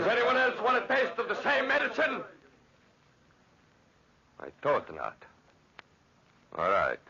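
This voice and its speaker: An actor playing a vain, violent master architect in a pompous, theatrical old-time radio style. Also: male voice, deep voice, pushed and bright, exaggerated voice. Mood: cold, arrogant. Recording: dull, lo-fi old radio recording.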